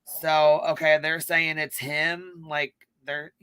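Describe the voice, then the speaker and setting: A man's voice: on the high side, flat delivery, slow pace, pushed and bright, smooth, dry recording. A man chatting casually on a livestream panel, repeating himself and checking that listeners follow.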